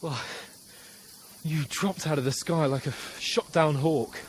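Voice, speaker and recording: A man's voice: fairly high pitch, measured pace, slight background noise, natural voice. A man playing a jittery, sarcastic young nobleman. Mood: hurried. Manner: sarcastic, stammering when nervous. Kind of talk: video game cutscene acting.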